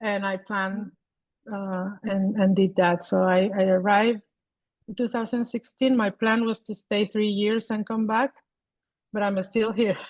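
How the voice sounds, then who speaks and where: A woman in her forties with a Venezuelan accent, a guest artist talking over a video call in a thoughtful, halting way, often restarting her sentences. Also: mid-range voice, flat delivery, a little mellow, no echo, low-quality recording. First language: Spanish. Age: 40s.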